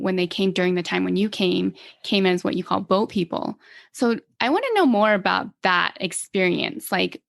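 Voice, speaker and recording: A woman's voice: medium pitch, creaky, dry recording, clean studio sound. A young woman hosting a casual interview, chatty with frequent "like". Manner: friendly, lots of "like" and backchannel. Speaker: interviewer, host.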